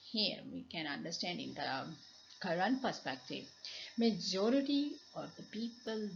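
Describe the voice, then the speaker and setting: A woman's voice: fairly low pitch, vocal fry. A middle-aged woman with a Pakistani accent and Punjabi as her first language, lecturing from slides and repeating key phrases as she explains. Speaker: university lecturer. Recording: dull lo-fi home recording with noise.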